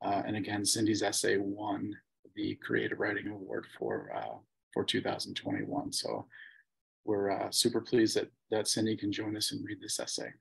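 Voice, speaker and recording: A man hosting an online reading introduces the next reader from a home room over a video call, relaxed and a little hesitant. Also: fairly low pitch, moderate pace, creaky, slightly rough, poor audio. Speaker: program host introducing a reader. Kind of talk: event introduction.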